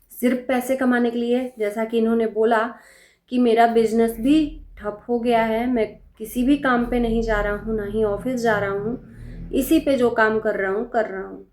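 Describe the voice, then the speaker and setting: A woman with a fairly high voice delivers casual reaction commentary. She is opinionated and pointed, leaning on rhetorical questions and repeating her phrases. The recording has clear room echo around her voice. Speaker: reaction video host. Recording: echoey, reverberant room.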